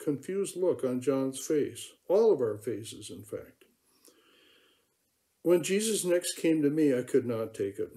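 Confessional apostle played by a man, mellow and dark, earnest dramatic monologue. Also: on the high side. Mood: wondering. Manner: earnest, confessional, emotionally charged. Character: remorseful male apostle recalling his denial. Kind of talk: dramatic first-person monologue.

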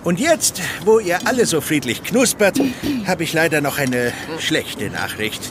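An actor playing an eccentric puppet-maker and peacemaker in an audio drama, speaking theatrically and placatingly. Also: male voice, fairly high pitch, big pitch swings, measured pace, fairly bright tone, slight background noise. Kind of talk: German children's audio drama acting.

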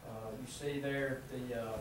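A Southern American man walking a council through a proposal, stopping often on fillers and restarts. His voice sits at an ordinary pitch and rings around a small room, picked up from far away with a steady hiss behind it. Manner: halting, many fillers and restarts. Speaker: city utility official presenting to council. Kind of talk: presenting a proposal at a council meeting. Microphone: far room camera mic. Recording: echoey room from far off, background noise.

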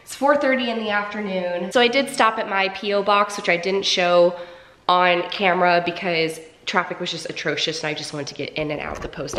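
A woman's voice: lowish voice, fairly bright tone, echoey room. Chatty congested young woman vlogging. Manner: chatty and upbeat. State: congested, stuffed up. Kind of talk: casual vlog talk to camera. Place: home, indoors. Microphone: camera mic at arm's length.

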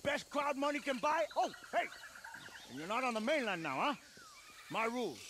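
A man's voice: very high voice, sing-song, moderate pace, background noise. A man playing a volatile, swaggering cartel-heir party host in a video game, effusive with a menacing edge, often ending phrases with "huh". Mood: hyped, boastful. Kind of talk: video game cutscene acting.

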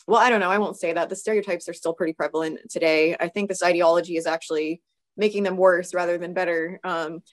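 A woman in her thirties with a permanently hoarse, raspy voice, talking as a guest on a video call, thoughtful and full of fillers and restarts. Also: on the low side, fairly even intonation, fast. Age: 30s. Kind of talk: casual video-call interview. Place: home room. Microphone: video call webcam.

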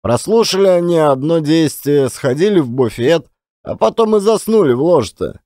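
A man's voice: on the high side, lively intonation, mellow, no echo, clean studio sound, close to an everyday voice. A man voicing an old former billiard marker who tells his memories in folksy, colloquial turns of phrase for an audiobook drama. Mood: animated recounting. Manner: colloquial, unhurried storytelling with rustic turns of phrase.

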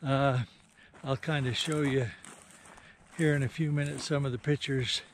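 A man's voice: mid-range voice, level tone, moderate pace, a little hiss or hum. An older man vlogging casually while filming himself at arm's length, talking in a folksy, rambling way full of fillers. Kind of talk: casual vlog narration. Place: in a car, then outdoors in a canyon. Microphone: handheld camera at arm's length.

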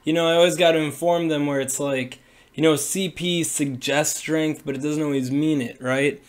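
A young man's raspy, hoarse voice, slightly higher than most men's. He talks in a loose, rambling way, full of "uh" and "you know" and restarts, like a streamer thinking aloud. It sounds picked up by a desk webcam in a small room. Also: steady tone, normal speed. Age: young adult. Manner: rambling, many fillers and restarts. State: hoarse from a cold. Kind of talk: casual livestream explainer.

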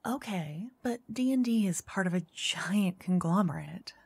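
A woman doing a soft-spoken ASMR roleplay as a flirty dungeon master. She teases, then whispers close into each ear in turn. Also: medium pitch, expressive, a little slow, gravelly, husky, no echo. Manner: teasing, flirty, switching between whispers and soft speech. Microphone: close binaural mic.

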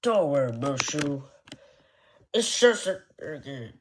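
A man improvising bedtime stories in a halting, rambling, playful way. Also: fairly high pitch, very animated, slight background noise. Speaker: storyteller. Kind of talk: improvised bedtime storytelling with toys.

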